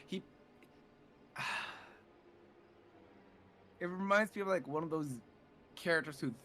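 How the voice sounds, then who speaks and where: A man guesting on a stream, raspy and joking, chatting in a lively, mock-defensive way. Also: a little high, slow pace. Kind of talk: casual chat on a stream.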